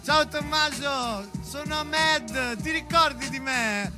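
An actor playing an excitable, chatty South Sudanese migrant man who pleads, in a theatrical monologue. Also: very high voice, slow, music in the background. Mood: pleading. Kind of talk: theatre monologue, acting out a dialogue.

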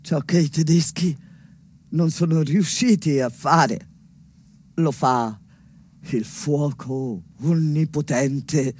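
An actress playing a grave, impassioned survivor in a dramatic stage narration, speaking solemnly and deliberately with weighty pauses. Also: female voice, sits low, slightly rough, full character voice. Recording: loud plucked-string music behind. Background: loud music with plucked strings, guitar.

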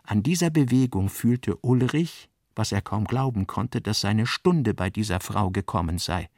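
A man's voice: on the low side, a little slow, darkish tone, no room sound, clean studio sound. A man reading literary narration for a radio drama in a scripted style.

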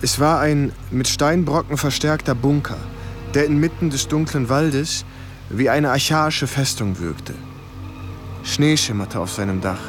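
A man's voice: mid-range voice, measured pace, natural voice. A man as a sober ex-soldier recalling war. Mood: sober, haunted. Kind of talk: first-person radio drama narration. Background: music.

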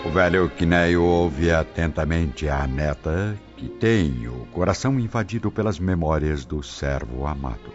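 A man's voice: very deep voice, unhurried, few pauses. A man delivering scripted narration for a radio drama as its narrator. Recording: dull lo-fi radio drama audio. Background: music.

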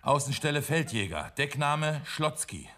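A man's voice: a little high, very animated, measured pace, background noise. An actor in a radio drama playing a cynical, world-weary male agent who mutters in clipped, sardonic phrases. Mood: stunned, tense.

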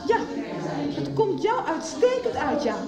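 A woman's voice: extremely high pitch, sing-song, unhurried, a loud crowd, exaggerated voice. An actress playing an upset, stubborn young woman in a radio soap, insistent and confrontational. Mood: cold, confrontational. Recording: roomy, noisy radio drama recording.

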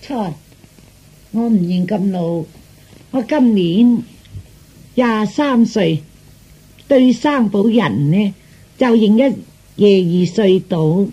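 An actress playing a vain, cheeky young princess in a comic radio drama, coy and deliberate. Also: female voice, medium pitch, expressive, dark tone, husky, highs cut off. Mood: coy, teasing.

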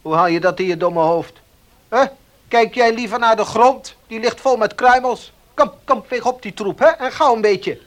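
A man acting in a Dutch radio play as a scheming, bossy village baker, insinuating and gruff in his deliberate talk. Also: very high voice. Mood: bossy, scolding.

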